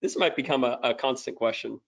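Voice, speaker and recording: A man's voice: mid-range voice, brisk, vocal fry. Easygoing man co-hosting a podcast. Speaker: podcast co-host. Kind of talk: casual podcast chat.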